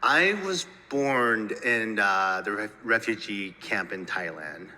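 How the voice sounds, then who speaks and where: A man with a low, forceful voice speaks as a filmmaker at a festival interview. He talks haltingly and doubles back on words. The sound is echoey, like a hall, with faint noise around him. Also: animated. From United States (Minnesota). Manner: halting, repeats words, peppers talk with "you know". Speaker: filmmaker and actor.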